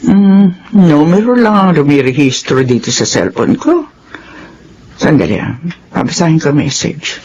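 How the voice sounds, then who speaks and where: A woman's voice: low-pitched, big pitch swings, measured pace, a little mellow, lo-fi sound, slight background noise, heavily acted. An actress playing a strict, worried middle-aged mother in a Philippine radio drama, brooding and suspicious. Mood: suspicious, stern.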